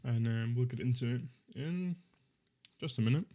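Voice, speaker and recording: A young man in his twenties, chatting casually on a live stream in a voice of ordinary, middle pitch. He rambles easily, fills gaps with ums, and corrects himself mid-sentence as he goes. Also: moderate pace, dark tone. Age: 20s. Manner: rambling, easygoing, with many fillers and self-corrections.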